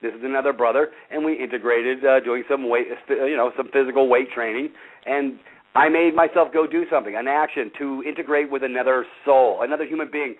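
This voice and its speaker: A loud, passionate man in his fifties talks on a call-in show, rambling and full of fillers. His voice comes through a thin, tinny phone line at a middling pitch. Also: fairly even intonation, a little fast. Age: 50s. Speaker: radio show host. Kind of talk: casual talk show chat. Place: front porch outdoors.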